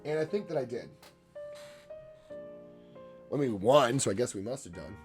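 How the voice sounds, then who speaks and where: A man's voice: medium pitch, lively intonation, normal speed, faint music behind. A congested man chatting casually and rambling with his live chat while he paints in his home art studio. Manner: rambling, chatty, many restarts and asides to chat. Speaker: painter and livestreamer.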